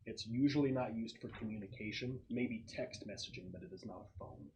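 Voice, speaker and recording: A man running a tabletop game as game master, narrating casually and haltingly as he thinks aloud and describes things. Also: lowish voice, a little mellow. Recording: noisy room recording with clicks.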